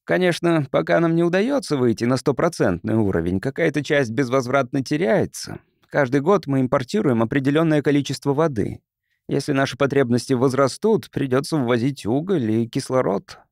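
A man reading as a proud, guarded male councillor in a science fiction audiobook. He explains things formally, with widely moving pitch, in a dry recording. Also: expressive, fairly quick, gravelly, darkish tone, dry recording.

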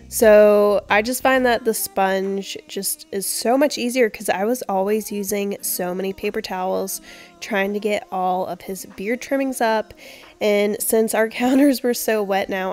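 A young woman narrating her house cleaning in a chatty, upbeat voice-over. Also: medium pitch. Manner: chatty, upbeat, with small laughs. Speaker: home cleaning vlogger.

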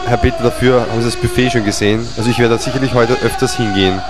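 A man's voice: medium pitch, fairly even intonation, fast talker, over loud music. A man doing comedic wrestling commentary, joking around and dropping in mock-French phrases.

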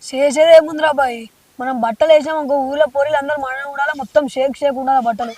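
A man plays a broke village youth with a Telangana Telugu accent who complains and schemes. His voice is very high and extremely quick. It sounds picked up from a distance with a faint hiss. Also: fairly even intonation, a little mellow, no echo. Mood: grumbling. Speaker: comedy skit actor.

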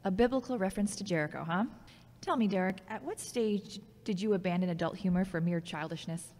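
A woman's voice: mid-range voice, brisk, a little mellow, close to an everyday voice. Woman acting frank, logical woman, reverberant room. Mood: teasing. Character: frank, logical, critical yet caring woman, former girlfriend. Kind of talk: scripted audio drama dialogue. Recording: long hall-like reverb.

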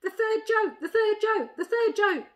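A woman voicing an excitable talking jackdaw in a children's storybook. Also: high voice, normal speed, pitched above the performer's own voice. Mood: great excitement. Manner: excited, repetitive. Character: excitable male jackdaw crowing about his joke.

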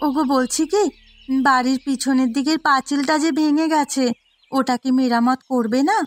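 A woman voicing a gently nagging village housewife in a fairy-tale cartoon. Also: high voice, fairly even intonation, normal speed, lightly acted. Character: village housewife, sister-in-law, gently nagging about repairs.

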